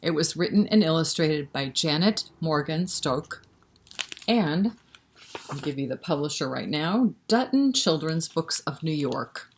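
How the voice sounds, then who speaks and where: A woman with a fairly low voice reads for a children's storytime. She speaks straight to young listeners, encouraging them to join in, with a rhythmic feel suited to nursery rhymes. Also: lively intonation, a little slow, a little hiss or hum. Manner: encouraging, interactive, talking directly to young children. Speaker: children's library storytime reader.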